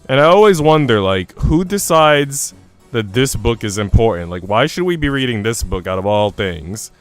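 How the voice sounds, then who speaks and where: A man's voice: mid-range voice, big pitch swings, normal speed. A young adult man, chatting informally and slangily to viewers as a live streamer. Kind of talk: casual livestream chat with viewers. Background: music playing.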